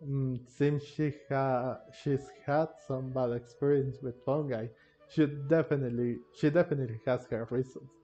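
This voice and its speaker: A man giving casual, rambling commentary on a game playthrough, halting and repeating himself. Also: mid-range voice, steady tone, dark tone. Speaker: gamer, video host. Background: game music.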